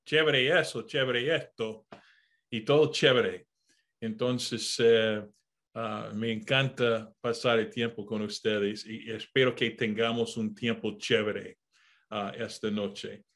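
A man's voice: lowish voice, fairly even intonation, a bit bright. A man pastor leading an online Bible study over a video call, talking with many "ah" fillers and correcting himself as he goes. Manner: unhurried, with frequent "ah" fillers and self-corrections.

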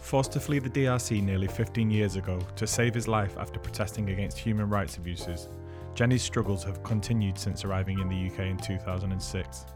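A man reading a scripted podcast introduction in a measured, prepared way. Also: fairly low pitch. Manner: smooth, measured read-through of a prepared intro. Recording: voice-over with music bed.

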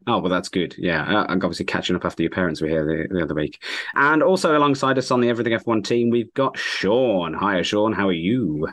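A man hosting a podcast in casual chat, friendly and quick to laugh, with a croaky voice. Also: sits low, expressive, fairly bright tone. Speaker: podcast host.

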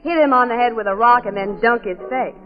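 An American actress playing a sharp, self-assured young woman sleuth with dry, teasing 1940s radio delivery. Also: fairly high pitch, fairly quick, close to an everyday voice. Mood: dry, explaining. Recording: muffled old radio recording, music behind.

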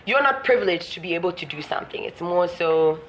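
A woman's voice: on the low side, lively intonation, fairly quick, fairly bright tone, a little hiss or hum, poor audio. A woman from Ghana talking frankly and reflectively in an interview, laughing often and swearing casually. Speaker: guest artist.

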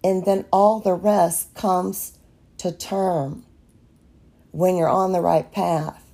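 A woman with a raspy voice from allergies hosts her own podcast in an unscripted devotional monologue. She talks slowly and earnestly, with a mellow, gravelly tone. She often circles back and restarts her phrases as she encourages listeners. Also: fairly low pitch, fairly even intonation. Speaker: podcast host.

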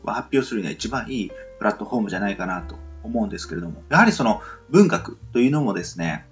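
A man hosting a solo podcast, rambling in short, halting phrases full of fillers as he thinks aloud. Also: medium pitch, lo-fi sound. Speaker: podcast host. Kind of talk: rambling solo radio talk.

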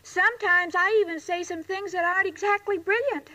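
A woman's voice: extremely high pitch, a little fast, bright, forceful tone. A woman playing a sweet, naive, scatterbrained young woman in a 1940s radio comedy, muddled but earnest, on a noisy old recording. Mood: naive consoling.

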